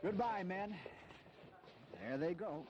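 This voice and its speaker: A man's voice: sits high, animated, slow, dull audio, background noise. An actor playing a weary, honourable general in his fifties, grave and dignified, in a historical audio drama. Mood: weary, wistful. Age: 50s.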